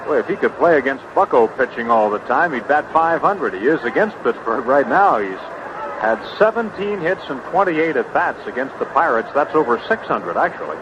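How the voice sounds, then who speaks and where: American baseball announcer, muffled vintage broadcast. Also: male voice, mid-range voice, very animated, moderate pace, background noise. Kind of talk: live sports commentary. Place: stadium broadcast booth.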